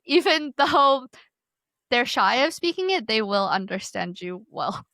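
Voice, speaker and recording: Bright-voiced woman hosting an interview. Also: on the high side, big pitch swings, no room sound. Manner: curious, quick follow-up questions. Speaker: host. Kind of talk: casual interview.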